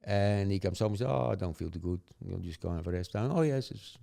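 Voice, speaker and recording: Gravelly older Dutch-accented man. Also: deep voice, animated, fast talker, warm tone. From Netherlands. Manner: chuckling, rambling, tag questions. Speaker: guest. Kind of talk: casual storytelling chat.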